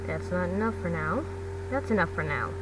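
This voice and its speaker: A woman's voice: fairly low pitch, lively intonation, moderate pace, voice pushed down, lightly acted. Protective male teacher read by a woman, blunt yet caring, consoling, earnest, fan-fiction audio, distant mic. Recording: distant mic, clearly noisy background.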